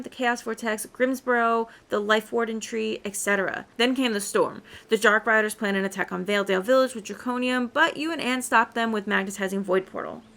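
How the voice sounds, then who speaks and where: A young, adventurous horse-riding heroine from a fantasy game , read aloud by a woman. The voice is on the high side and sounds like dialogue being read out. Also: fairly bright tone.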